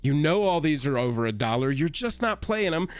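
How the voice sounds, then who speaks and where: A man's voice: fairly high pitch, very animated, a little fast. A young man, co-hosting a card game live stream in a jokey, playful way and reading a sponsor spot. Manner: jokey, playful, breaks into silly voices. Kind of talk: casual live-stream chat and sponsor read.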